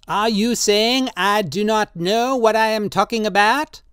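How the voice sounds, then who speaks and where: A storybook reader voicing an excitable, drunken old man who pleads and insists, raised well above the reader's own voice with an altered tone. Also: medium pitch, measured pace, clean tone, no room sound, studio quality, voice pushed up, lightly acted. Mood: pleading.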